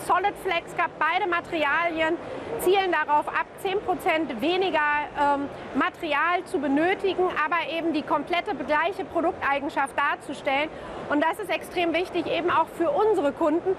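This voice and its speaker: A woman's voice: very high voice, background noise. A woman representing a company explains a new product in a trade-fair interview, with occasional filler hesitations.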